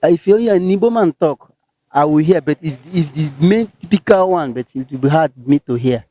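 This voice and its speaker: Hesitant Nigerian interviewee, mellow and slightly high voice. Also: male voice, lively intonation, brisk, no room sound. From Etche, Rivers State, Nigeria. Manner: hesitant, many fillers and restarts.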